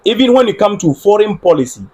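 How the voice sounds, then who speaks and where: Husky Ghanaian man streaming commentary. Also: sits high, sing-song, fast talker, a little mellow. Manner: earnest, repeats phrases, checks on his audio with viewers. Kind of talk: solo livestream political commentary.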